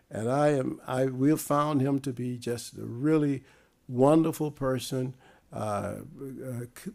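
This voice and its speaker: Older man with a gravelly voice. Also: mid-range voice, a little mellow. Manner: halting, many "uh" pauses. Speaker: host introducing a speaker. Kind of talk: formal introduction. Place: lecture room, indoors. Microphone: desk microphone.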